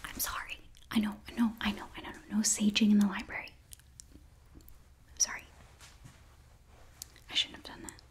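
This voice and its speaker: A young woman playing an earnest, flustered witch librarian in a whispered, breathy ASMR roleplay, speaking haltingly close to the microphone. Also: medium pitch, flat delivery, lightly acted. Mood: apologetic, earnest. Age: young adult. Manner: hushed, halting, fussy. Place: small indoor set, close to microphone.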